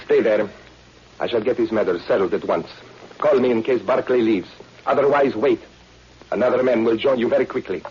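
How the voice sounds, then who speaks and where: A man's voice: medium pitch, a little fast, close to an everyday voice. An actor playing a cold, commanding male spy boss in an old radio drama, with a stern, formal manner. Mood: irritated, commanding. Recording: dull, noisy vintage radio recording.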